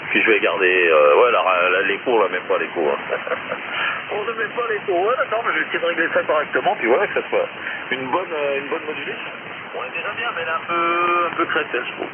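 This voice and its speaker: A man chatting casually as an amateur radio operator over shortwave, colloquial and full of acknowledgements. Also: on the high side. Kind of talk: casual radio chat with call-sign spelling. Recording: narrow, noisy shortwave radio transmission.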